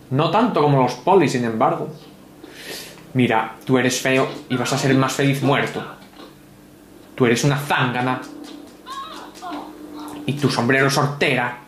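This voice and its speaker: A man's voice: mid-range voice, reverberant, a little hiss or hum. A man, giving sardonic, grumbling, mock-pompous gameplay commentary from a home room. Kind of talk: sarcastic ranting game commentary.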